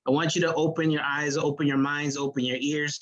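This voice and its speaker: A man's voice: on the high side, little pitch movement, fairly quick, a bit bright. A man as a sales manager giving an earnest motivational business presentation on a video call, with many fillers.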